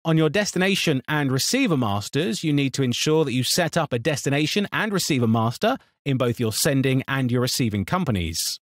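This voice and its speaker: A man narrating a scripted software tutorial in an instructional, step-by-step manner. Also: medium pitch, no room sound, studio quality.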